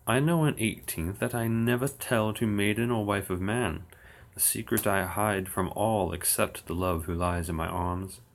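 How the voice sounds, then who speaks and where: A man reciting old verse slowly and steadily on his livestream, with dry asides. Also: sits low, gravelly. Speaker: occult streamer. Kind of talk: reading verse aloud on a livestream.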